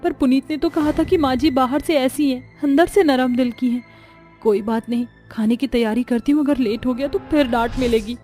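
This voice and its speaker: A woman's voice: sits high, moderate pace, dark tone, soft background music, lightly acted. A woman voicing a meek, tearful young daughter-in-law in a story, earnest, deferential and plaintive. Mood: hurt.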